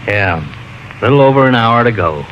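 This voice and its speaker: A man's voice: mid-range voice, lively intonation, normal speed, close to an everyday voice. Blunt, harried American police lieutenant played by a man. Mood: matter-of-fact. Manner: clipped, blunt, terse. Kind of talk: 1940s-50s radio drama acting. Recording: dull, noisy vintage radio recording.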